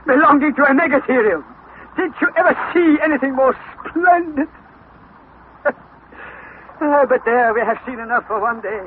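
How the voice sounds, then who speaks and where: An actor playing an excitable, stubborn older professor uncle, pompous and exclamatory, in a 1960s radio adventure serial. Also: male voice, very high-pitched, moderate pace, pauses often. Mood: delighted. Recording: tinny, hissy vintage radio broadcast.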